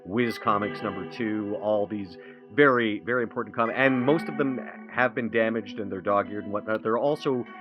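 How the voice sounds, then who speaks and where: A man playing a tabletop roleplay, describing things in a halting, detailed way with many pauses. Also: on the low side, music in the background. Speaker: player.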